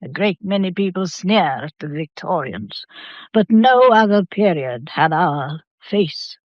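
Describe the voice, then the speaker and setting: An actress playing an imperious old Victorian matriarch with an English accent, speaking formally and deliberately in a radio drama. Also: female voice, on the low side, animated, no echo, highs cut off. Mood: stern, challenging. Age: older.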